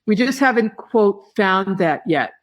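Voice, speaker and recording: A woman giving a reflective interview as a guest, speaking in a halting, thoughtful way with phrases broken by pauses. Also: medium pitch, lively intonation. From not originally from Hawaii, long resident there. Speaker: podcast guest, tea grower and company head.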